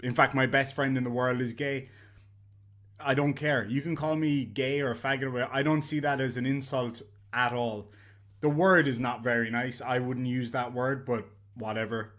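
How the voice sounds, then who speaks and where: A man's voice: medium pitch, steady tone, normal speed, a bit bright. A man in his thirties with an Irish accent, talking bluntly and profanely to a webcam in a room at home. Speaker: YouTuber. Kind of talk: casual vlog rant.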